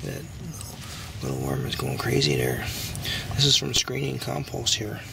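A man with a heavily gravelly, creaky voice talks casually while he digs for worms in his yard after dark. He is recorded on a handheld camera outdoors. There is clear background noise and outdoor hiss behind him. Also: rumbling low, measured pace. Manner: chatty, excited over big worms. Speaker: backyard gardener and angler. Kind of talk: casual narration while working. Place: backyard outdoors at night. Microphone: handheld camera mic. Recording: noisy outdoor night recording.

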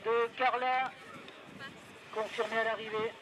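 A man announcing a ski race live over a loudspeaker, naming racers, lanes and time gaps, with fillers. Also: very high voice, level tone, a little slow, noisy background. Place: outdoor ski slope. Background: spectators on the slope.

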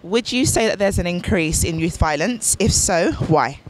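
A woman's voice: on the low side, expressive, moderate pace, poor audio. A woman from Africa, holding a handheld mic, asks rambling, chatty questions in a vox pop interview on a busy city street. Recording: outdoor street noise behind.

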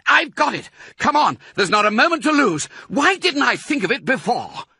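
A man voicing a quick-witted, urgent father fox in an animated, emphatic children's storybook reading. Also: on the high side, breathy edge, no echo, studio quality, pitched above the performer's own voice. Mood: excited, urgent. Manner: emphatic, commanding, excitable.

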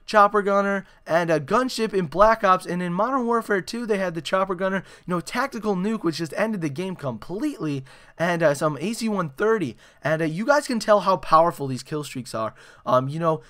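A young man with a hoarse, raspy voice, giving excitable gameplay commentary full of "you know" and "uh". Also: high voice. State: hoarse after a concert. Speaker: gaming channel host. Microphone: desktop microphone.

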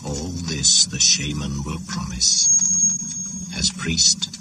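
A man narrating a 1980s radio drama in a grave, measured storytelling voice. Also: rumbling low, fairly even intonation, noisy background. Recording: radio play with music underneath.

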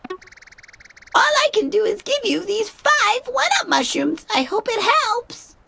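A young player voicing a small, cute, gentle and helpful video game creature. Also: very high-pitched, very animated, slow pace, vocal fry, a little hiss or hum, pitched above the performer's own voice, exaggerated voice. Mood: sympathetic. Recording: game music behind the voice.

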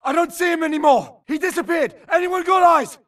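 Game army grunt yelling clipped urgent callouts, really high strained pitch, bit off mic. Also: male voice, fairly quick, recorded from a distance. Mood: urgent, frustrated. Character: urgent, alert military infantry grunt in battle. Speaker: video game soldier. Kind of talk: shouted combat barks.

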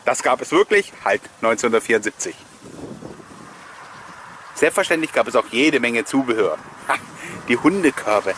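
A man's voice: medium pitch, animated, normal speed, long pauses, a bit bright, slight background noise, low-quality recording. A man hosting a nostalgic video with chatty, rambling voice-over commentary and personal anecdotes, often chuckling as he goes. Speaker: host of a collectors' nostalgia video channel.

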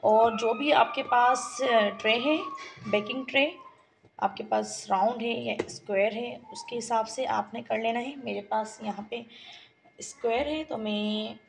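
Woman, fairly high voice, explains a recipe step by step, faint hiss behind. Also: a little slow, vocal fry. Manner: calm step-by-step instructions addressed to the viewer. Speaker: cooking channel host. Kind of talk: home cooking tutorial voice-over. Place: home kitchen.

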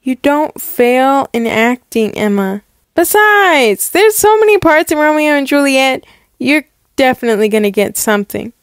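Woman doing a super high sweet mom voice, gushy and encouraging her daughter, acted story. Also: lively intonation, moderate pace, dry recording, clean studio sound. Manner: gushing, reassuring, pet names. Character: doting, encouraging mother of an aspiring actress. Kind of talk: acted dialogue in a one-person story.

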